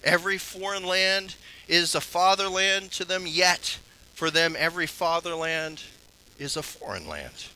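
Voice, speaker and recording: A man pastor giving an earnest sermon that ends in prayer, swinging between strong, raised passages and low, soft ones. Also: expressive, measured pace, rough recording.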